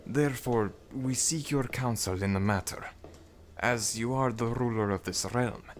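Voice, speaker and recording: A man acts out an anxious servant attending a god in an ASMR audio drama. The voice is lifted well above the performer's deep natural register. The delivery is formal and deferential, with an earnest, worried edge. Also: unhurried, natural voice. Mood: respectful. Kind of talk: scripted ASMR audio roleplay.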